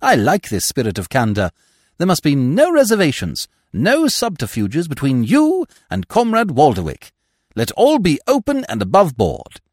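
A suave male gentleman of a comic storybook as a man reads him, unflappable and blandly cordial. His grandiose, florid speech rises and falls very theatrically, in a dry close recording. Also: a little high, wildly swooping pitch, moderate pace, slightly rough, no echo, voice pushed up, heavily acted. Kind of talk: audiobook character voice.

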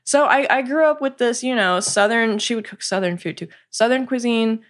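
A woman guest talking casually in an interview, chatty and quick to laugh, with plenty of fillers. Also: a little high. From United States, partly raised in South Korea. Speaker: podcast guest, cookbook coauthor.

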